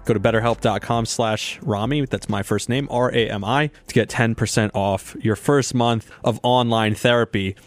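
A man's voice: medium pitch, fairly even intonation, moderate pace, hardly any pauses, no echo, soft background music. A young man hosting a sports podcast in a casual, rambling way, his voice a little sore. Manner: chatty, rambling, laughs often. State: slightly sore voice. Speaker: podcast host.

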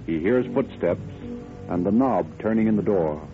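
A man's voice: on the low side, lively intonation, normal speed, over music. Vintage radio announcer man, muffled recording. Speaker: announcer and narrator. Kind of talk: 1930s radio announcing, scripted narration.

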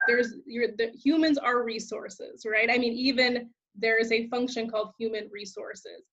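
This is a woman with a slightly high voice, heard through a dull, lo-fi recording. As host, she thinks aloud and circles her points with small hesitations and restarts. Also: steady tone, moderate pace, a bit bright. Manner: thoughtful, hesitant, lots of fillers and restarts. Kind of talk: conversational interview. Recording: dull lo-fi sound.

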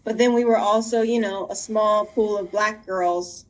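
A woman's voice: medium pitch, steady tone, normal speed, low-quality recording. A young woman from the United States, a graduate student, chatting as a podcast guest, joking and laughing as she talks. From Denver, Colorado, United States. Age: young adult. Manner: joking, laughs while talking. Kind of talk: casual conversation.

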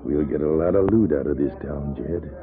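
Really deep cowboy villain, cold and bossy, old radio western, muffled lo-fi sound. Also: male voice, moderate pace, a crowd in the background. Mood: scheming. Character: scheming, cold-blooded cowboy outlaw ringleader. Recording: muffled, lo-fi vintage radio recording.

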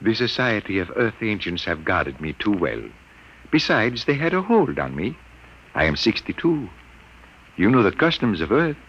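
An actor playing a sixty-two-year-old scientist who speaks earnestly and explains things carefully, in an old radio drama. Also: male voice, on the low side, very animated, moderate pace, fairly bright tone, slight background noise, poor audio. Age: 60s. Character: aging Earthman scientist, earnest and burdened. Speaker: elderly scientist father. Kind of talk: 1950s radio drama acting.

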